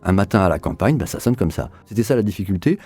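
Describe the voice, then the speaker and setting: Low, mellow man, reflective interviewee. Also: expressive, fast, husky. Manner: explanatory, rephrases and repeats for emphasis. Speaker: audio drama director being interviewed.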